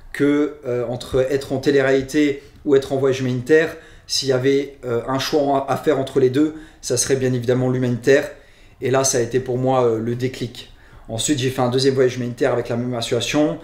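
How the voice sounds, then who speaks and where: A man's voice: medium pitch, darkish tone, echoey room. A man from Luxembourg with a Luxembourgish accent, chatting casually as he answers fan questions and often restarts his phrases. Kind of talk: casual Q&A chat.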